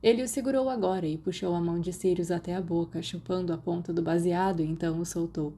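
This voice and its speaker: Mellow Brazilian woman narrating a story. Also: lowish voice, fairly even intonation, quick pace. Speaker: podfic narrator.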